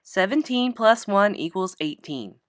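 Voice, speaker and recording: Casting: woman as storybook kindergarten teacher, patient yet exasperated, firm emphatic explanations, lively intonation. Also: medium pitch, measured pace, no room sound, clean studio sound, close to an everyday voice.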